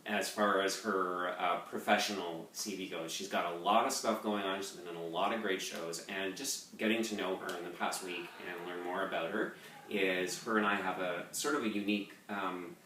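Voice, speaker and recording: A man, a composer, hosting a relaxed interview, chatty and loose with plenty of fillers. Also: sits low, normal speed. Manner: chatty, loose, lots of "you know" fillers. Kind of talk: casual interview chat. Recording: roomy echo, distant mic, faint noise.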